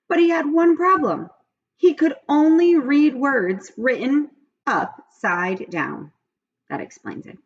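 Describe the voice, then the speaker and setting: A woman reading aloud to children in an expressive, friendly storytelling style. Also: on the low side, vocal fry, husky, highs cut off. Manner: friendly, addressing children directly. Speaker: read-aloud teacher.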